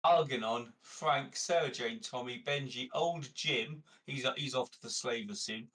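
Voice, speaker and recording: A man's voice: medium pitch, measured pace, a bit bright. A man chatting casually as a player in a tabletop game. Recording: slightly distant from the mic.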